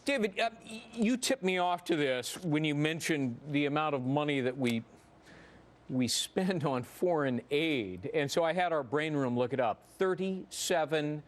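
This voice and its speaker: An American man anchors a television news segment in a fairly high voice. He presents stories and steers a panel discussion, moving between scripted delivery and conversational questioning.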